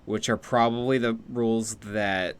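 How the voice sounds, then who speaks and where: A man's voice: lowish voice, fairly even intonation, a little slow, clean tone. A man streaming a video game, giving casual live commentary and musing aloud. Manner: muses aloud, repeats phrases, casual swearing.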